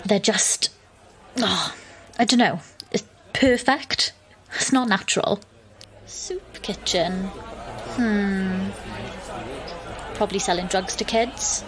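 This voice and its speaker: A woman's voice: medium pitch, slow, pauses often, fairly bright tone, some chatter behind. An actress playing an exasperated, wry young woman, narrating and talking in an audio drama. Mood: gossipy, grudging. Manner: dry, sarcastic.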